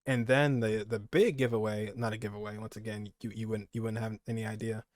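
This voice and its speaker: A man's voice: on the low side, level tone, a little fast, a little mellow, no echo, clean studio sound. A young man giving casual, rambling reaction commentary as a gaming streamer, repeating phrases for emphasis.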